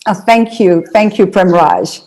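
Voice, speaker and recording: Woman, from Ethiopia, Amharic first language, mellow dark tone, storytelling interview guest. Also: medium pitch, measured pace, rough recording. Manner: storytelling, with restarts and fillers. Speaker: interview guest, singer and speaker. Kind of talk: conversational interview.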